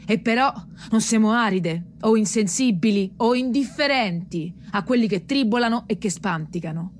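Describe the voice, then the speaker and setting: A woman's voice: mid-range voice, lively intonation, normal speed, a little hiss or hum, natural voice. A woman playing a proud, tough, world-weary prostitute with a Roman accent, earthy and defiant in radio theatre.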